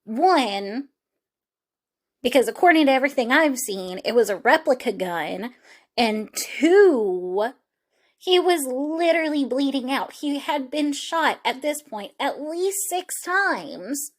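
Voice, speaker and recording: A woman with a fairly high voice talks through a true crime case as a podcast host. Her pace is very slow, and she speaks conversationally, putting emphasis on her own opinions. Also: big pitch swings.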